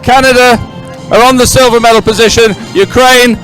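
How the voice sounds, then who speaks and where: High-pitched male live sports commentator. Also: fairly even intonation, normal speed, a bit bright, soft background music, rough recording. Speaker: event commentator and presenter.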